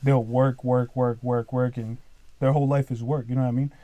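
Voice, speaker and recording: Young man of twenty, podcast guest, mellow tone, dry close sound, loose rambling delivery full of "like" and "dude". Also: medium pitch, little pitch movement, a little fast, no room sound. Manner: rambling, leans heavily on "like" and "dude". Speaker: podcast guest, business owner. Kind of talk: casual podcast chat.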